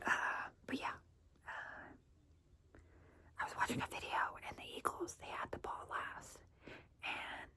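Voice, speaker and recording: A woman whispering casually through a long chatty stretch, full of fillers and strong opinions as a football fan. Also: pushed and bright.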